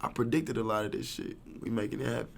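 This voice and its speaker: Gravelly-voiced man in his thirties. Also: lowish voice, fast talker, noisy background. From Kansas City, USA. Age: 30s. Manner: laid-back, slangy, rambling. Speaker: rapper, interview guest. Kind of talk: casual interview chat.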